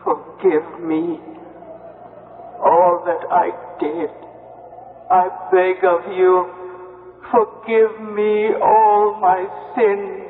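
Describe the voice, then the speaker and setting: An actress playing the sorrowful ghost of a dead mother in a radio drama, halting and pleading. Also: on the low side, little pitch movement. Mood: mournful, pleading. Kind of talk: 1970s radio drama acting. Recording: tinny old radio, long eerie reverb.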